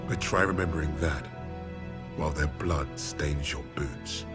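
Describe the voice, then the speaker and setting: A man's voice: rumbling low, measured pace, loud music behind the voice. An actor playing a grave, hardened male officer reflecting solemnly on leading men into battle, in a war game cutscene. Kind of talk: video game cutscene narration.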